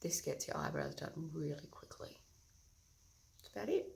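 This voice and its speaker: A woman with a loud, husky voice, chatting through a casual makeup tutorial early in the morning before her coffee. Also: sits low, sing-song, moderate pace. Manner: loud, chatty, talks through each step. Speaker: makeup vlogger. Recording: echoey room.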